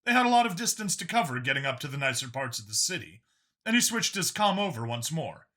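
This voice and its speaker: A man's voice: on the high side, very animated, quick pace, bright, forceful tone. A man reading scripted science fiction narration as a storyteller.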